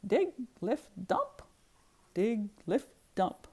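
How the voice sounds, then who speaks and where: A woman reading a children's book aloud in expressive narration, stressing repeated words rhythmically. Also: fairly high pitch, drawn-out words, husky.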